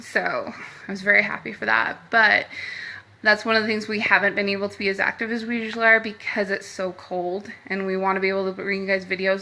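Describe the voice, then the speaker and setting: A woman's voice: mid-range voice, bright, forceful tone. A middle-aged American woman giving a casual update to her followers, chatty and informal, rambling from point to point. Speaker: paranormal team host.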